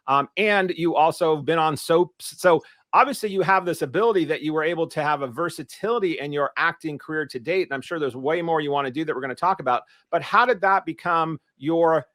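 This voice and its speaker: A man hosts an interview podcast, and his voice sits a little higher than most men's. He rambles enthusiastically with frequent stumbles and uhs, in a dry, close recording with no room echo. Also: fairly bright tone. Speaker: podcast host. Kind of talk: interview host introduction and questions.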